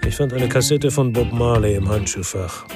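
A man playing a brooding former police detective who narrates a crime radio drama in a sober, terse, reflective way with a husky voice. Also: medium pitch, moderate pace, loud music behind the voice, natural voice.

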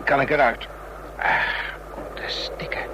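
A man's voice: measured pace, background noise, natural voice. A man playing a stifled, grumbling train traveller in a radio play, wheezy and weary, in short phrases. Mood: weary, relieved. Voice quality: wheezy, creaky.

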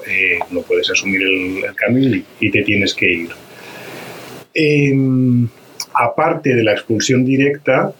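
Low-voiced Spanish man explaining, noisy recording. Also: fairly bright tone. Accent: Spanish from Spain. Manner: halting, searching for words, listing points methodically. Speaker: activist guest. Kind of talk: spontaneous explanatory interview talk.

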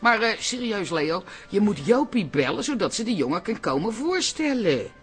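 An actor playing a chatty, encouraging pub regular in a Dutch radio comedy. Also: male voice, sits high, very animated, moderate pace, faint music behind.

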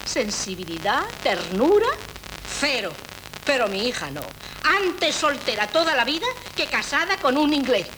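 An actress playing an older, pompous aunt who writes novels, talking in a theatrical, gushing, opinionated way in a 1970s radio drama. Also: female voice, sits high, sing-song, a little slow. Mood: indignant. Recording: noisy 1970s radio broadcast.

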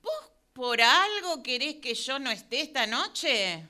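A woman acts out a nosy Rioplatense neighbor woman in a radio play, wary of the girl upstairs. Her voice is bright and smooth, slow-paced and swooping up and down, and she mutters asides to herself. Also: high voice, very animated, exaggerated voice. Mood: suspicious. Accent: Rioplatense Spanish. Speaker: radio drama actor. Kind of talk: amateur radio drama acting.

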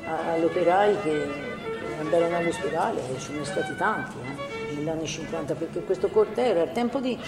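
Elderly female witness, colloquial halting reminiscence, echoey space, prominent music behind. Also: low-pitched. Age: older. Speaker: witness recalling the 1950s. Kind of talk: informal oral history. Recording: roomy recording with loud music behind.

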